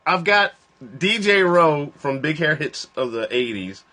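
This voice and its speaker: A man in his forties chats loosely on a phone livestream. He rambles, laughs often, and his pitch jumps high and drops low from line to line. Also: lively intonation, unhurried, bright, forceful tone. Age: 40s. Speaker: host of a livestream. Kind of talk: casual joking chat.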